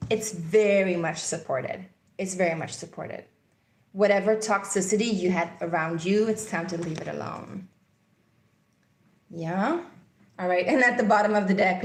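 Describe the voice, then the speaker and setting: Swedish-accented woman, casual tarot reader, meandering and repetitive, mid pitch, roomy echo. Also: slight background noise. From Sweden. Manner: meandering, intuitive, repeats key phrases. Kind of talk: casual tarot card reading.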